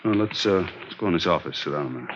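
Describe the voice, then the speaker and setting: A senior police chief, low in pitch and quick in his talk. He is terse and businesslike, in old radio style on a muffled recording. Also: lively intonation, background noise. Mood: casual, tired. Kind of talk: 1940s-50s radio acting, clipped.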